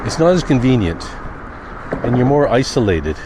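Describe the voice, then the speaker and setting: A man giving a musing, unhurried and wry vlog monologue while out on the sidewalk. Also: mid-range voice, sing-song. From Canada. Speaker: daily vlogger. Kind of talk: reflective walking vlog monologue. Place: outdoors on quiet sidewalks. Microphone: handheld camera with wind muff. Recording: outdoor street noise, passing cars, wind.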